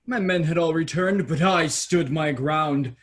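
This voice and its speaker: An actor playing a vain, self-absorbed young prince in a children's fairy-tale stage reading. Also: male voice, fairly high pitch, measured pace, lightly acted. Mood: boastful.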